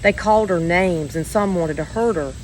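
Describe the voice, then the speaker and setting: Female storybook reader, slightly low register, measured and expressive delivery, deliberate pauses, faint noise behind. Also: low-quality recording. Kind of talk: scripted children's story reading.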